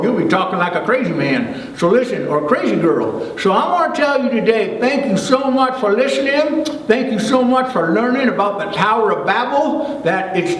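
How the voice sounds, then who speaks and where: A man playing an excitable, boastful but good-hearted country boy who rambles folksily through a children's Bible story. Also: sits high, natural voice. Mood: earnest, folksy. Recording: echoey hall, distant microphone.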